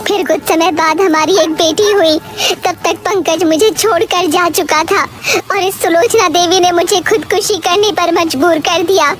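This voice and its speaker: A woman doing a grieving ghost woman. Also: normal speed. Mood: bitter. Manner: grieving, accusing, low and heavy. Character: vengeful female ghost haunting a well. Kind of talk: animated storybook voice acting.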